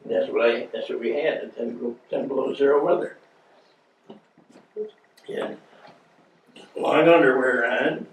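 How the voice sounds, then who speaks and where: An American man in his nineties, a war veteran, reminiscing informally to an audience in a halting, plain-spoken way. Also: mid-range voice. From United States. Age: 90s. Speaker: war veteran, guest speaker. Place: indoor meeting room before an audience. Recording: echoey room, distant mic, faint noise.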